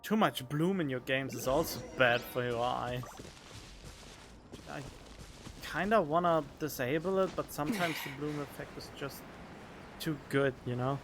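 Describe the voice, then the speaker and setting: A man's voice: on the high side, a little slow, background noise. A young man giving casual, informal let's play commentary, half talking to himself as he streams a game. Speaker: gaming streamer.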